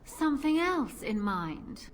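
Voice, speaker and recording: A woman's voice: sits high, big pitch swings, slow, a little hiss or hum, full character voice. An actress voicing a confident, flirtatious female pirate captain and duelist in a video game, with a teasing, theatrical manner. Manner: teasing, self-assured, theatrical.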